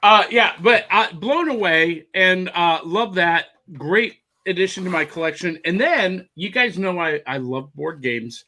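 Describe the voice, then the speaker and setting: A man's voice: high voice, lively intonation, unhurried, hardly any pauses, pushed and bright. A man co-hosting a casual chat over a video call, talking eagerly and rambling about things he found. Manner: enthusiastic, rambling, showing off finds. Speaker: co-host.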